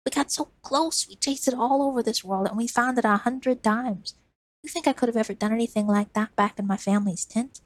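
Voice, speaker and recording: A woman's voice: on the high side, fairly quick, no room sound, pitched above the performer's own voice, close to an everyday voice. A woman voicing an eager, trusting young man who talks in earnest, pleading bursts.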